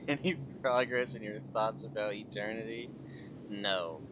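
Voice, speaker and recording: A man's voice: on the low side, fairly even intonation, unhurried, a bit bright, a little hiss or hum, rough recording. A man giving casual, sardonic commentary as they play a game, with muttered asides. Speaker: game streamer.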